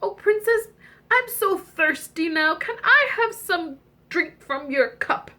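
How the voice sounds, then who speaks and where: A woman's voice: extremely high pitch, animated, takes it slowly, sharp tone, voice pushed up, full character voice. A woman voicing a needy little frog in a storybook, pleading in a sing-song way.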